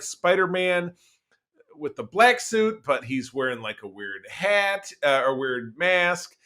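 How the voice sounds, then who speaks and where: A man's voice: a little high, pushed and bright. An American man in his forties co-hosting a podcast, chatting casually in an exuberant, joking, rambling way. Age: 40s. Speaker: podcast co-host. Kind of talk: casual chat.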